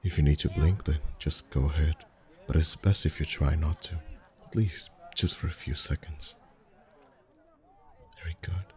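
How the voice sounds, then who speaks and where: A man acting as a friendly festival face painter with a very deep, mellow voice. He is soft-spoken and chatty, gently teasing and flirting as he reassures his customer in an intimate ASMR roleplay. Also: steady tone, moderate pace, pauses often. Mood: warm, chatty. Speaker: voice actor.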